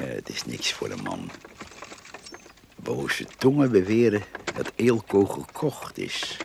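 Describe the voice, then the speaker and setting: An actor with a Netherlands Dutch accent playing a sly, sneering, lecherous village gossip in a radio play monologue. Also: male voice, low-pitched, exaggerated voice. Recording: radio play with clear background noise.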